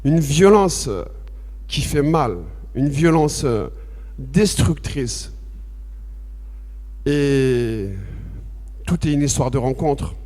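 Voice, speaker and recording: A man from France giving a personal testimony at a public debate, halting and repetitive at first, then impassioned and emphatic. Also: medium pitch, lively intonation, darkish tone. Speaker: association president speaking at a public debate. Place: debate hall with audience. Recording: long reverb of a large hall.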